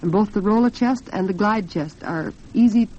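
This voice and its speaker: A woman's voice: medium pitch, normal speed, warm tone. An American woman hosting a 1940s radio talk program, speaking in an earnest, articulate and polished way. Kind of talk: 1940s radio women's talk program, commentary and sponsor read. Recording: dull lo-fi 1940s radio recording.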